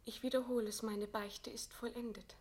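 An actress performs a proud queen facing death in a classical radio drama. Her voice sits fairly high for a woman. She declaims verse with solemn fervour, remorseful yet resigned and dignified. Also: little pitch movement, normal speed, darkish tone, close to an everyday voice. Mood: quiet firm dignity.

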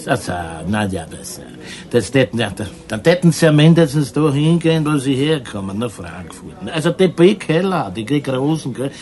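An actor playing a nervous, evasive small-time crook, simple but sly, who stammers and repeats himself in a radio crime drama. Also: male voice, mid-range voice, big pitch swings, normal speed, a little mellow, lightly acted. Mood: flustered, protesting. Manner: stammering, repeating words, tagging sentences with questioning filler.

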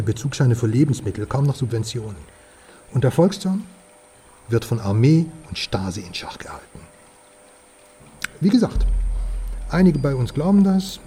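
An actor plays a worldly male informant in a radio drama. His mid-pitched voice is soft-edged and dark, and he talks in wry, knowing, clipped phrases. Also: slight background noise, close to an everyday voice. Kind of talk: radio crime drama dialogue.

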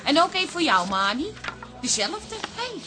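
Lively high-voiced wife. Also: female voice, normal speed, music in the background. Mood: cheerful. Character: sociable, exasperated wife and daughter. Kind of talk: radio comedy drama acting.

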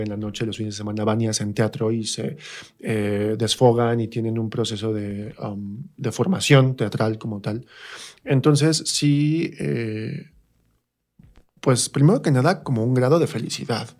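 A man's voice: fairly low pitch, fairly even intonation, measured pace, darkish tone. A man with a Mexican accent talking informally and reflectively as a guest in an interview. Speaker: interview guest, stage actor. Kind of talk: casual interview conversation.

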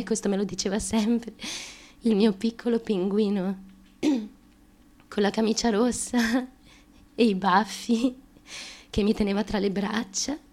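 A woman's voice: a little high, a little mellow. An actress performing a theatrical monologue as a fragile, anxious, childlike young woman, stammering and repeating words as she confides feverishly. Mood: tender, nostalgic. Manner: halting, stammering, repeats words, nervous giggles. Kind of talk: theatrical stream-of-consciousness monologue.

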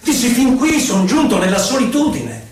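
An actor playing an indignant, solemn exiled Chilean poet-senator, reciting deliberately in a theatrical radio style with dramatic pauses. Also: male voice, extremely high pitch, huge pitch swings, heavily acted. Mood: anguished, indignant. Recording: roomy echo, distant from microphone.

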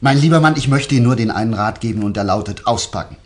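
Man playing sardonic bossy detective, very animated intonation, radio thriller. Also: medium pitch, fast, natural voice. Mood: stern, threatening. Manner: sardonic, theatrical, commanding. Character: shrewd, mocking male private investigator who bullies his quarry. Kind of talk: German radio crime drama acting.

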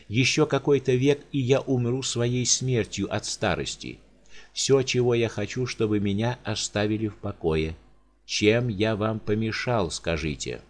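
A man voicing a gentle, mournful four-hundred-year-old male giant in an audiobook, speaking deep, heavy and slow. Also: natural voice.

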